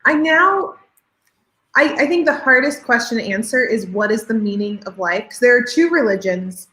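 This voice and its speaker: A woman with a somewhat high voice chats casually on a live stream, thinking aloud and rambling through her ideas. The sound is roomy and echoey around her. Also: expressive, normal speed, pushed and bright. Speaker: co-host of writing sprints. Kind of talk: casual chat on a video call.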